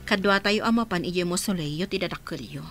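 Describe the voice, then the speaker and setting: A woman's voice: fairly low pitch, fairly quick, a bit bright, soft background music. A middle-aged woman in a radio drama playing a playful, hesitant woman who is being courted.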